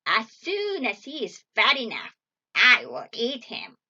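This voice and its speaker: A woman's voice: on the high side, huge pitch swings, measured pace, sharp tone, highs cut off, heavily acted. A woman voicing a mean old storybook witch, cruel and impatient, barking commands or coaxing with false sweetness. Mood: harsh, menacing.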